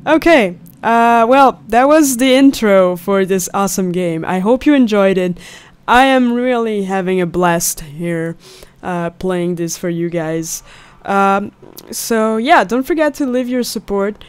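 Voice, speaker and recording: Slow-paced young woman gamer. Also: mid-range voice, smooth, dry recording. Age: young adult. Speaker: gaming video host. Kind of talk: casual let's play commentary. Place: indoors at home, seated close to mic. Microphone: studio condenser on stand. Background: game music.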